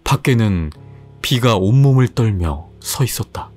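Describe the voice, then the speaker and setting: A man reads a horror story in a measured, suspenseful way, letting each phrase hang. His voice is husky and his pitch moves widely. Faint music plays underneath. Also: expressive, a little mellow. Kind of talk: scripted horror narration.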